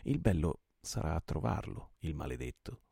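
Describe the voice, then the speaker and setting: A loyal pirate comrade speaks in a gravelly, dark voice, as a male narrator puts him on, barking brisk orders or musing calmly. The sound is close and dry, like a book read at the mic. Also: extremely low pitch, no echo, exaggerated voice.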